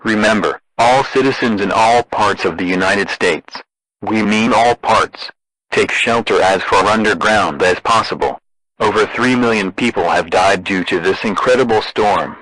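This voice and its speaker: Bright, low-pitched deadpan male alert announcer. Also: normal speed, no echo, rough recording. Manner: deadpan, formal. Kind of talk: scripted emergency broadcast narration.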